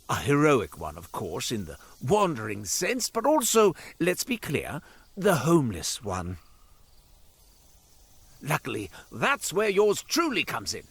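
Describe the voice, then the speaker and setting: A man's voice: fairly high pitch, a bit bright, breathy edge, full character voice. A man playing a flamboyant devilish roadside merchant in a video game, with florid, theatrical, ingratiating sales patter. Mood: mock tactful, then flattering, gushing. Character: flamboyant devilish roadside curio merchant, male, wheedling and grandiose.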